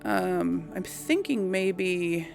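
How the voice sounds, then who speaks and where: Lady doing a chill lets play, creaky lowish voice, kinda unsure, musing as she goes, soft music behind. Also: huge pitch swings, drawn-out words. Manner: hesitant, musing. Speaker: video game let's player. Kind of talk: casual game commentary, thinking aloud.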